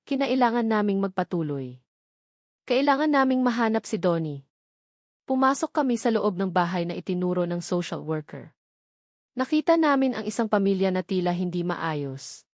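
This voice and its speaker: A woman's voice: medium pitch, no room sound. Filipino woman narrating a dramatic story, dry sound. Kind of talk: scripted dramatic first-person story narration with acted dialogue.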